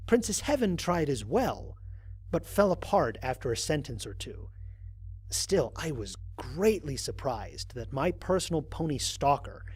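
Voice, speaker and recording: A man's voice: on the high side, very animated, measured pace, slightly rough, no room sound, exaggerated voice. A man playing a human space explorer recording a mission log in an audio drama, speaking conversationally with wry, dry amusement. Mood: wry, reflective. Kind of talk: first-person audio drama narration as a log entry.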